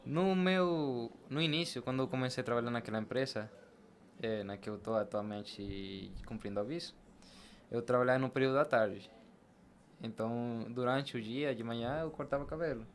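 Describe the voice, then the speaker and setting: A man's voice: mid-range voice, moderate pace, a little hiss or hum. A man speaking as an interview guest, telling his experiences in a conversational podcast style. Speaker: podcast guest, barber and shop team leader.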